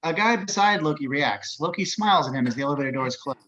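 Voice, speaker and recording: A man's voice: on the high side, animated, fast talker, sharp tone, highs cut off, slight background noise. A man narrating screenplay action descriptions as scripted narration. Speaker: narrator of stage directions.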